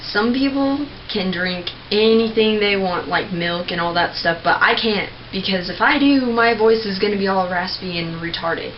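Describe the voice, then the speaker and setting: A young woman giving a casual, rambling tutorial on screaming technique, clearing her throat often. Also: mid-range voice, moderate pace, hardly any pauses. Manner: chatty, rambling, with many filler words and throat clearing. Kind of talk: casual home tutorial video. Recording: dull lo-fi home recording with faint noise.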